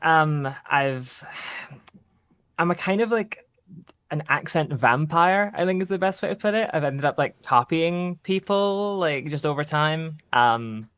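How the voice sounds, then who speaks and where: A woman's voice: sits low, lively intonation, a little slow, clean tone. A woman from southern Scotland with an American-influenced Scottish accent, chatting casually and tentatively as a guest. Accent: mixed American-influenced Scottish. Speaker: podcast guest. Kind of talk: casual chat.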